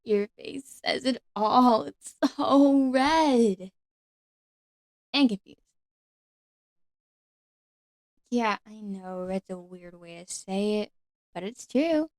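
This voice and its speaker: A woman voicing a lonely, ancient nature fairy, sweet and timid, speaking haltingly and sometimes whispering in an ASMR roleplay. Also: fairly high pitch, no echo, studio quality. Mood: amused. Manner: hesitant, halting, apologetic, often whispering. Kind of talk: ASMR roleplay, one-sided conversation with the listener.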